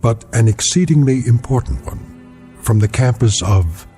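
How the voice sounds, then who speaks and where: An actor narrating a dramatic anthology show in a grave, measured, portentous manner. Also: male voice, medium pitch, lively intonation, warm tone, lightly acted. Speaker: host narrator. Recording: music behind the voice.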